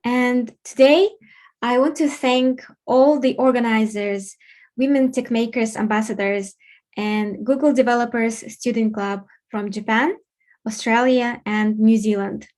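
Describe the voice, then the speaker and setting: A young woman from Kazakhstan hosting an informal online event, speaking haltingly with pauses mid-sentence. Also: a little high, a little mellow. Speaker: event host and facilitator. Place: online video call.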